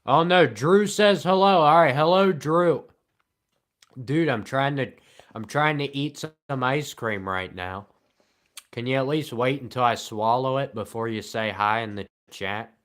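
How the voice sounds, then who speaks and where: A man streaming live and answering the chat in a flat, deadpan, sarcastic way, with long pauses between remarks. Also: medium pitch.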